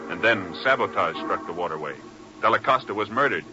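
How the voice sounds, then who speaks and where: A man's voice: on the low side, expressive, a little fast. A man announcing and narrating a 1950s radio drama in a solemn, emphatic style. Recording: muffled 1950s radio recording. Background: music.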